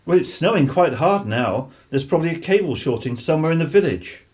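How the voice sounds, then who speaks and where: An actor playing a gruff, easily exasperated English father of the 1950s in a radio play. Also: mid-range voice, animated, a little fast, a little mellow, lightly acted. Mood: wry. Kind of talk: British radio play acting.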